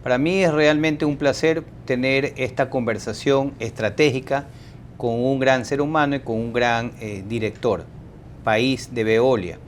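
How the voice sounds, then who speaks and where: A male host with a voice of middling pitch who greets guests courteously and asks formal questions, now and then padding them with small fillers. A faint low rumble runs under the recording. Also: fairly even intonation, unhurried. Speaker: talk show host. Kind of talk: interview hosting.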